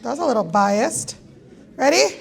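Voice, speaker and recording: A woman with a raspy, gravelly voice pitched fairly high. She emcees a live gala and calls out raffle numbers, teasing the crowd with playful jokes between draws. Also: lively intonation, normal speed, slight background noise. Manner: playful, teasing, jokey asides. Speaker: event host calling raffle draws.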